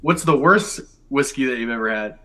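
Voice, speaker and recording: Bright-voiced man in his thirties, chatting casually. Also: medium pitch, expressive, normal speed, a little hiss or hum. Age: 30s. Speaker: guest, whiskey video maker. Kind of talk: casual chat on a live stream.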